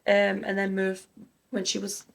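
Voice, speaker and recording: A woman's voice: medium pitch, completely flat delivery, unhurried, gravelly. A woman who grew up in Germany, co-hosting a music reaction chat and thinking aloud in an earnest, casual way. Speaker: co-host of a music reaction channel.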